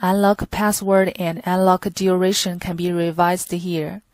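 A woman reading a scripted instructional product tutorial in a flat, even delivery. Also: fairly low pitch, measured pace, dry recording.

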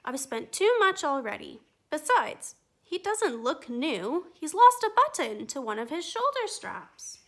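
A woman voicing a weary mother who gently refuses, in a storybook reading. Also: high-pitched, very animated, measured pace, hardly any pauses, heavily acted. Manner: sighing, patient.

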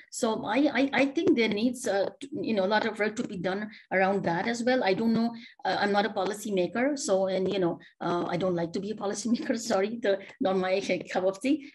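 A middle-aged woman speaks as a panelist over a video call from a home office. She talks earnestly, piles up rhetorical questions and often starts her sentences over. Her voice sits at an everyday middle pitch. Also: low-quality recording. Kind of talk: panel discussion remarks.

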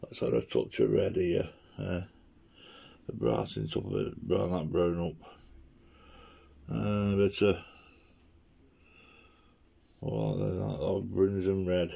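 A man with a croaky, infection-roughened voice talks his way through a watercolour painting at his living-room table. He speaks slowly and in a rambling way, his voice low, dark and gravelly. It sounds like a home video from a camera sitting on the table. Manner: rambling, chatty, talks to himself while painting. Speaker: watercolour artist demonstrating. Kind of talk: casual painting demo commentary. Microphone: camera on the table, speaker off-screen.

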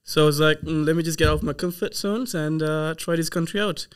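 A young man from Sri Lanka, a student guest telling his story in a relaxed, amused way. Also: on the high side, a little fast, clear, resonant tone. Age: young adult. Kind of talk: casual interview chat. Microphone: desk mic on stand.

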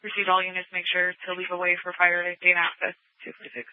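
A man's voice: high voice, completely flat delivery, fast talker, clean tone, no echo. A man working as a police dispatcher, giving clipped read-backs over police radio. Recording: tinny phone-like police scanner audio.

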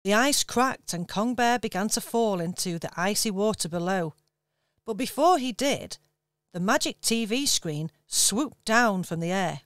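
A woman narrating a children's radio pantomime in clear, steady storytelling. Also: medium pitch, unhurried.

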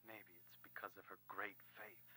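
An actor playing a sly, worldly cult priest in a video game, talking in a knowing, insinuating way. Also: male voice, fairly low pitch, level tone, normal speed, pushed and bright, breathy edge, dry recording, full character voice, low-quality recording. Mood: wry. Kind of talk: dramatic game dialogue.

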